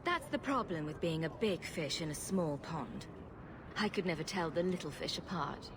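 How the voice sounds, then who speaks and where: An actress voicing a confident, sarcastic rogue adventurer in a video game, cool and commanding. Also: female voice, low voice, expressive, normal speed, background noise. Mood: cool sarcasm. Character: confident, wry young female rogue adventurer. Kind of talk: video game cutscene acting.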